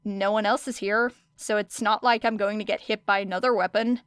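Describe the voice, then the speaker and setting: A woman's voice: medium pitch, steady tone, brisk, fairly bright tone, clear, resonant tone, no room sound, lightly acted. A woman voicing a wry, nonchalant young female fighter in an audiobook story, casual and dry.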